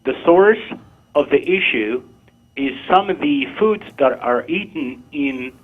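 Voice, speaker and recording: A man's voice: on the high side, animated, a little slow. A man in his fifties from Morocco, calling in to a talk show and explaining his view in earnest, insistent short phrases. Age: 50s. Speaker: caller. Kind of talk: call-in discussion. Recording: tinny phone line.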